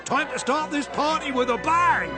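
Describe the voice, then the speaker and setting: A man voicing a cocky, boisterous showman in a comic animated game. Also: very high voice, moderate pace. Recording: loud music behind.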